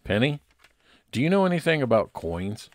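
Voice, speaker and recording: A man playing a friendly, observant private investigator, narrating an old-time radio detective story in a measured way. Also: medium pitch, very animated, no room sound, lightly acted. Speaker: narrator and lead actor.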